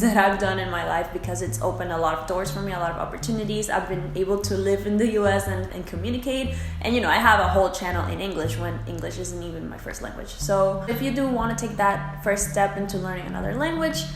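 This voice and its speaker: Giggly young woman. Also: mid-range voice. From Dominican Republic. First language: Spanish. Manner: chatty, giggly, quick asides. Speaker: vlogger. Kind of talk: casual vlog chat. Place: apartment room. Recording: echoey room with background music.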